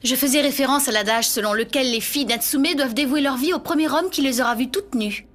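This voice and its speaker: A woman's voice: high voice, fast talker, a bit bright. An actress voicing a cool, blunt young woman fighter in a French anime dub.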